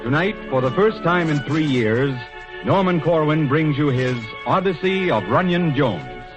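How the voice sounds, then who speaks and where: Animated 1940s male announcer over music. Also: a little high, measured pace, rough recording. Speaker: radio announcer.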